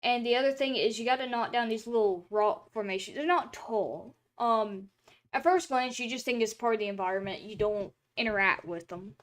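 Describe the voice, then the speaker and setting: A young woman streamer in casual chat, rambling with many fillers and restarts, her throat a little dry and hoarse, talking from a room at home. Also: fairly high pitch, moderate pace. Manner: rambling, chatty, lots of filler words and restarts. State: throat drying, slightly hoarse.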